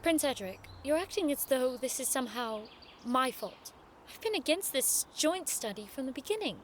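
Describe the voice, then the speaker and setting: An actress playing a prim, sharp-tongued young noblewoman in an audio drama, formal, arch and sarcastic. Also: high voice, animated, normal speed, slight background noise. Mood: arch, teasing.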